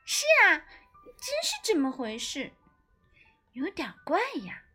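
A woman voicing a small, indignant young apprentice chef with pouty, fast exclamations in a storybook. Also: high-pitched, huge pitch swings, pushed and bright, soft background music, heavily acted. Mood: baffled, sulky.

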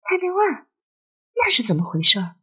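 A woman voicing a curious nineteen-year-old girl in an audio drama, excitable and full of questions. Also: high-pitched, normal speed, voice pushed up, heavily acted. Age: young adult. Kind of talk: Chinese audio drama dialogue.

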